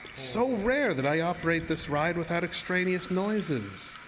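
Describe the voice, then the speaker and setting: An actor playing a politely menacing carnival illusionist in a horror audio drama, speaking in a formal, measured, mock-courteous way. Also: male voice, a little high, big pitch swings, background noise. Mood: quietly menacing. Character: sinister, politely menacing male carnival dark-ride illusionist.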